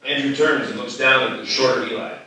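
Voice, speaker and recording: A man's voice: mid-range voice, fairly even intonation, a little fast, a crowd in the background. A man reading stage directions as scripted narration at a live screenplay table read. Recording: very echoey hall, distant mic.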